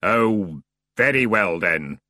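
A man voicing a grim, weary hooded ferryman of the dead, speaking low, slow and sepulchral. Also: animated, sharp tone, no room sound, studio quality, heavily acted.

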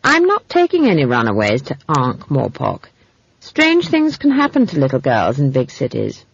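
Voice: mid-range voice, wildly swooping pitch, normal speed, gravelly, breathy edge, no echo, muffled recording, exaggerated voice. A narrator voicing a cold, brusque, overburdened man who leads a caravan in a storybook reading. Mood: cold, dismissive. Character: gruff, irritable, overburdened man leading a trade caravan.

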